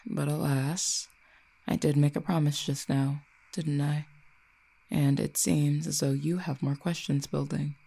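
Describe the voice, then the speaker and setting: Casting: ancient cupid for audio roleplay, possessive and haughty, dark mellow tone with gravelly fry, deliberate teasing menace performer acting it out. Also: mid-range voice, flat delivery, exaggerated voice. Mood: smug, intimidating. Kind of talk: scripted audio roleplay, acted monologue.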